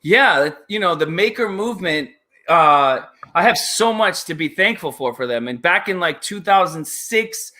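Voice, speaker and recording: A man from the United States speaking as an interview guest, chatting casually in an excitable, emphatic, rambling way. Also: high voice, unhurried. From United States, child of Italian immigrants. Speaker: interview guest, maker magician.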